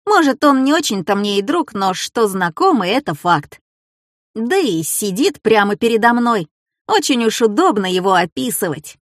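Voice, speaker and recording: A woman's voice: on the high side, big pitch swings, normal speed, no echo, clean studio sound. A woman voicing a mischievous, self-assured schoolboy in a children's story, with a cheeky theatrical delivery. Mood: self-satisfied.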